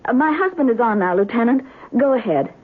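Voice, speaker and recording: A woman's voice: fairly high pitch, big pitch swings, brisk. An actress playing an anxious married woman in a 1970s radio drama. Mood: worried, urgent. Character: anxious married woman homeowner back from holiday. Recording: tinny, band-limited old radio broadcast.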